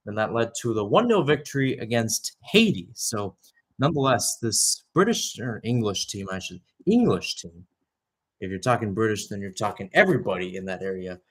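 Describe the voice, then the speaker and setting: A young man doing live radio-style soccer play-by-play, with conversational analysis that wanders and corrects itself. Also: medium pitch. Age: young adult.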